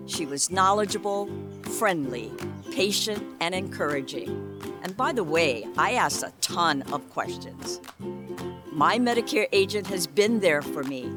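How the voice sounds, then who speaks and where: Woman, lower register, calm testimonial read, music underneath. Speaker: ad testimonial speaker.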